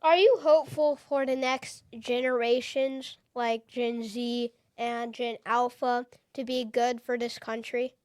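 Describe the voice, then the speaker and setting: A woman's voice: on the high side, steady tone, unhurried, few pauses, smooth, no room sound. A woman hosting a podcast, asking questions in an upbeat, casual way. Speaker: podcast host.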